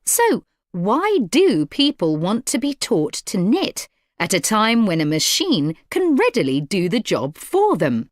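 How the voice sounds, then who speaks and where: A female fashion design lecturer speaks at an unhurried, measured pace in a very dry recording. Her medium-pitched delivery is articulate and explanatory, as in a scripted lecture. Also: huge pitch swings, no room sound.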